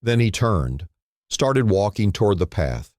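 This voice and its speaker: A man narrating a scripted dramatic story in a solemn manner, in short phrases with pauses. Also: on the low side, sing-song, a little mellow, no room sound, studio quality.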